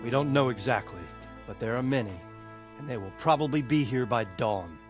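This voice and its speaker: A man's voice: medium pitch, soft background music, close to an everyday voice. A man playing a stern wounded lord. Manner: authoritative, measured briefing. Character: wounded, stern male lord commanding a siege. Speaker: military commander.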